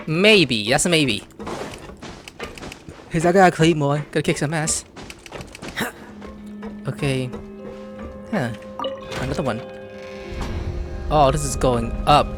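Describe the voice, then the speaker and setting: A woman doing casual, excitable let's-play commentary, exclaiming and gushing as she talks to the characters and to herself. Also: low voice. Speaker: gameplay streamer. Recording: game music behind the voice.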